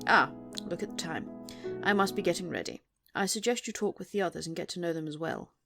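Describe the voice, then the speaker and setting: A woman playing an imperious, centuries-old but young-looking female vampire, speaking in a formal, measured, haughty way in an audio roleplay. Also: lowish voice, animated, vocal fry, fairly bright tone. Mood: composed, aloof. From England. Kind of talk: audio roleplay monologue to the listener. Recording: music under the voice. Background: plucked guitar music.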